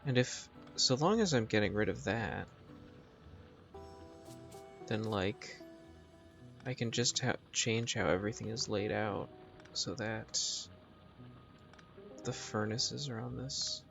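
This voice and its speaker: A man's voice: lowish voice, slow pace, vocal fry, long pauses, a bit bright, muffled recording. A man streaming a game, chatting casually in a musing, dryly joking way. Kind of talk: casual live gaming chat. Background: music.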